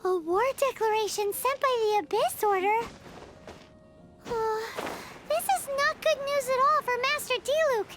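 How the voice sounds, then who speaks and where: A woman voicing a tiny, excitable childlike fairy companion in a video game, squeaky, naive and dramatic. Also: measured pace. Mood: excited, urgent. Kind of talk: video game character acting. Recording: studio voice over game music.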